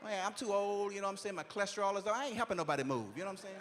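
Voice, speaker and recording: High-voiced man in his forties telling stand-up stories. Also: expressive, rapid-fire, a bit bright. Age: 40s. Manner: conversational storytelling, repeats "you know what I'm saying". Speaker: stand-up comedian. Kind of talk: stand-up comedy routine.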